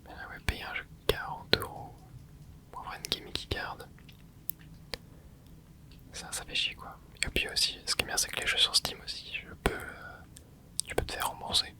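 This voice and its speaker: An ASMR creator giving soft, whispered commentary while playing a video game, rambling and hesitant with lots of fillers and restarts. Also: moderate pace, pauses often. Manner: soft unvoiced whisper throughout.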